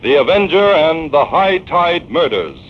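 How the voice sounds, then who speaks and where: A man's voice: fairly high pitch, big pitch swings, unhurried. A man announcing a 1940s radio drama in a dramatic, emphatic style. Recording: dull old radio transcription with hiss.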